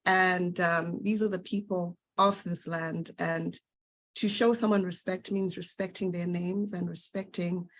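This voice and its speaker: A woman facilitating an online workshop, talking in a measured, gentle way with frequent fillers. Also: on the low side, level tone.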